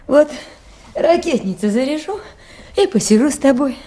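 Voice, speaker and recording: Lone river station woman, soft soothing talk to herself, brave but anxious, old radio drama, noisy watery background. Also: high voice, sing-song, normal speed. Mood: tender, soothing. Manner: talking soothingly to a dog and to herself. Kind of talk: Soviet radio play acting. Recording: noisy 1980s radio play, outdoor water ambience.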